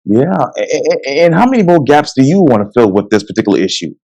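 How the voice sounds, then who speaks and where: A male interviewer talks quickly at a middle pitch. He leans on the same filler words as he sets up his questions. The sound is dry and close, like a video call. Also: big pitch swings, warm tone, no room sound. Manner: brisk, repeats "obviously". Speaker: interview host.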